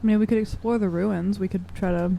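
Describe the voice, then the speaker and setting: A woman's voice: mid-range voice, steady tone, fast talker, creaky, lo-fi sound, a little hiss or hum. A woman chatting casually as a player in a tabletop role-playing game.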